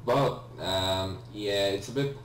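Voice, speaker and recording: Guy doing a lets play, deep voice, kinda rambly and hesitant, mic sounds far off w some hum. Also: slow pace. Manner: hesitant, rambling, self-correcting. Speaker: let's player, video game host. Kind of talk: casual gameplay commentary. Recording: roomy, distant mic with faint hum.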